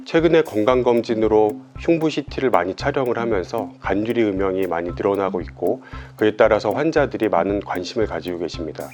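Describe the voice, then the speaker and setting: A man with a low voice hosts a medical question-and-answer video. He asks his questions politely and at an even pace. Faint music runs underneath. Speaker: host, thoracic surgeon. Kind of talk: scripted medical Q&A hosting.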